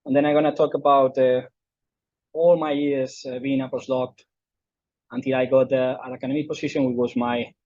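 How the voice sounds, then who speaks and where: A man's voice: mid-range voice, little pitch movement, moderate pace, darkish tone, no room sound, lo-fi sound. A middle-aged man from southern Spain with a Spanish accent, giving a conference talk with many fillers and restarts. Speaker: invited speaker. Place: lecture room.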